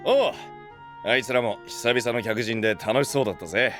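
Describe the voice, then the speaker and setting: An actor playing a rough but good-hearted young knight in an anime voice drama, blunt and slangy. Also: male voice, mid-range voice, fairly quick, music in the background, lightly acted. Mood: relaxed confidence.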